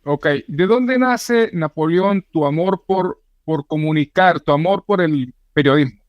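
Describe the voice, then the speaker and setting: A man's voice: fairly high pitch, measured pace, no echo. Guy interviewing someone, upbeat questions, kinda dull muffled audio, dry.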